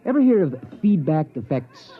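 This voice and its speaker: An actor playing a stuffy, earnest American sociology professor, pedantic and formal, in an old-time radio drama. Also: male voice, fairly high pitch, very animated, moderate pace, husky, some chatter behind. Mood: earnest lecturing. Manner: pedantic, formal, hesitant lecturing. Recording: muffled old radio recording.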